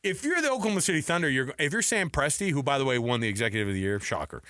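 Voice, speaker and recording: An American man co-hosting an unscripted sports talk show, opinionated and animated, often restarting mid-thought and throwing out rhetorical questions. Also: mid-range voice, very fast, no echo, studio quality. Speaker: sports radio co-host.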